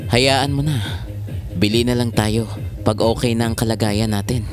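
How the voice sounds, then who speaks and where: A man performs a young husband in a radio play, burdened and easily frustrated. His voice sits at an ordinary male pitch. Music runs clearly underneath. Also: steady tone, moderate pace, natural voice. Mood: grateful, subdued. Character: frustrated, jobless husband weighed down by hardship. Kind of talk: radio drama acting. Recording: music behind the voice.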